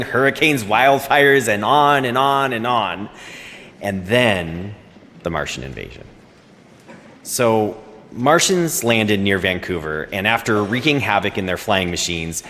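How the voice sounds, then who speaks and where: An actor playing a museum director giving a formal welcome speech in a radio drama, in a reverberant hall with faint noise. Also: male voice, fairly low pitch, fairly bright tone. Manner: formal, listing phrases one by one. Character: male museum director welcoming an evening audience.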